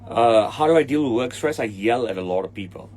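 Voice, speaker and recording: A man's voice: mid-range voice, animated, fairly quick, a little mellow. A man in his forties with a Hong Kong English accent, a tailor answering livestream viewers in a blunt, impatient way. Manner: blunt, impatient, swears at commenters. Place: indoor room with trophies behind him.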